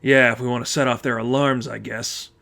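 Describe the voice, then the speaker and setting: Casting: male reader as young male crime-fighter, prickly and proud, curt delivery, mid pitch. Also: little pitch movement, brisk, vocal fry, bright, forceful tone. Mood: irritated. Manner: curt, irritated. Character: proud, impatient, sullen boy vigilante sidekick.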